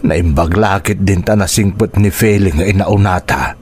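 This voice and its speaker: A man's voice: deep voice, moderate pace, darkish tone, slightly rough. An actor with an Ilocano Filipino accent playing a stern, burdened older father in a radio drama. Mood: stern, troubled.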